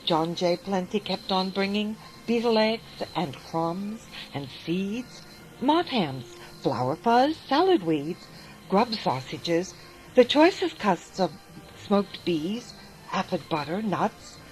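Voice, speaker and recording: Slow, lilting woman reading a rhyming storybook. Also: on the low side, soft background music, low-quality recording. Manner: lilting, expressive read-aloud delivery. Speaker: narrator.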